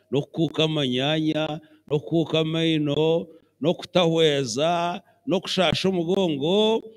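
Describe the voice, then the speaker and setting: A middle-aged Ugandan man preaching a sermon as bishop on a handheld mic in a large church, animated and mixing English phrases into his talk. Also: on the high side. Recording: long church hall reverb.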